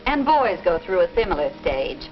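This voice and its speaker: An actress playing a patient, gently explanatory middle-aged American mother advising her teenage daughter in a 1950s instructional film. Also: fairly low pitch, very animated, normal speed. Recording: dull vintage film soundtrack with music.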